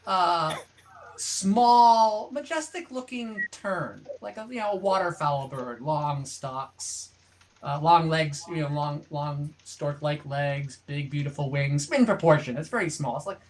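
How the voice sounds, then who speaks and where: Conversational game master, improvised scene descriptions, halting delivery, mid-range voice, noisy online-call recording. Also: mid-range voice. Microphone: online group call.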